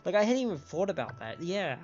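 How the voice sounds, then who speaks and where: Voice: medium pitch, big pitch swings, brisk, a little mellow. A game streamer chats casually and analytically during play. The delivery is explanatory and conversational. The mic sounds a little lo-fi, with music faintly underneath. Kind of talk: casual live game commentary.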